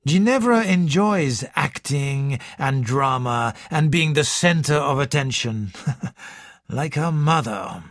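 A woman's voice: sits low, animated, slow, dry recording, clean studio sound, heavily acted. A woman narrator playing a confident, kindly male doctor with a matter-of-fact manner in an audiobook. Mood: assured, satisfied. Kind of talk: audiobook character dialogue.

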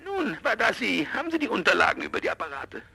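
An actor playing a brusque, impatient police official who barks curt demands in a radio drama. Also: male voice, high-pitched, sing-song, quick pace, vocal fry, bright, forceful tone, a little hiss or hum, full character voice. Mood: impatient, scornful. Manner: barking, hectoring, curt. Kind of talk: German radio drama acting.

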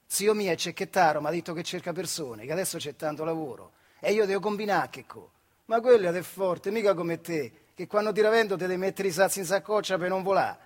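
An actor voicing scrappy, teasing thirteen-year-old village boys in a stage monologue, mocking and boastful, with a central Italian accent from the Marche. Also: male voice, high-pitched, brisk, slightly rough, big-room sound.

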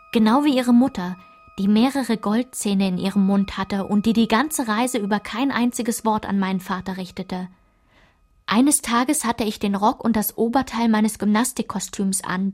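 An actress playing a woman who recalls her painful girlhood, narrating a literary radio drama in a measured, reflective way. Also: mid-range voice, a little mellow, close to an everyday voice.

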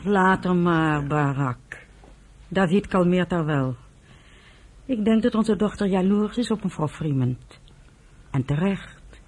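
A woman playing a fair-minded, middle-aged mother in a Dutch radio drama, husky and gently reproachful. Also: lowish voice, slow pace, long pauses. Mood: gentle reproach.

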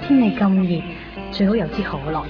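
A woman's voice: fairly low pitch, fairly quick, close to an everyday voice. Actress as a distant young Hong Kong woman. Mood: easygoing. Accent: Hong Kong Cantonese. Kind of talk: 1990s radio drama acting. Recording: dull, distant, guitar music behind.

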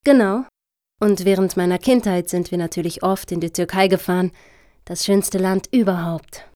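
A woman's voice: lowish voice, steady tone, normal speed, mellow, close to an everyday voice. Earnest, self-righteous middle-aged activist played by a woman. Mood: self-presenting. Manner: emphatic, preachy, rhetorical. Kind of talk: satirical radio play dialogue.

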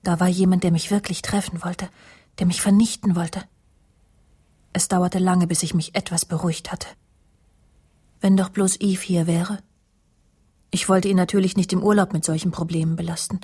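Low-voiced, embittered, suspicious career woman. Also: a little mellow, breathy edge. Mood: brooding, distressed. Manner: indignant, clipped, confiding in inner monologue. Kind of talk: radio play dialogue and first-person narration.

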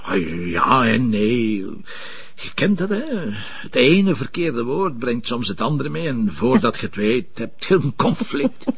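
An actor with a Flemish accent playing a world-weary, awkward police detective in a television crime drama, with a husky, gravelly, muttering delivery. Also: male voice, medium pitch, sing-song, measured pace, dry recording, heavily acted.

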